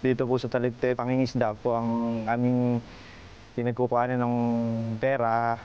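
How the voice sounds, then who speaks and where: A man's voice: medium pitch, monotone, normal speed, dull audio, a little hiss or hum. A Filipino fisherman, a man speaking earnestly in a testimonial. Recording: music clearly under the voice. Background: background music.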